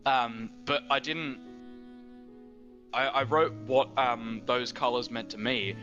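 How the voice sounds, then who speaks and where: An Australian man of eighteen chats easily on a video call, wandering through his thoughts with many fillers. His voice is bright and pushed forward. The audio is somewhat dull, and quiet music plays under it. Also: medium pitch, fairly even intonation, takes it slowly. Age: teens. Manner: rambling, many fillers and restarts. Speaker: guest. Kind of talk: casual chat.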